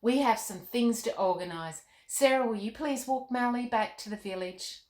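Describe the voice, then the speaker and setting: A woman voicing a kindly, fatherly king with a soft, solemn manner in a storybook read-aloud. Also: fairly high pitch, moderate pace, natural voice. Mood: warm, assuring. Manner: soft-spoken, gentle, solemn. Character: gentle, kindly fatherly king in a fairy tale. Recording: roomy, echoey room.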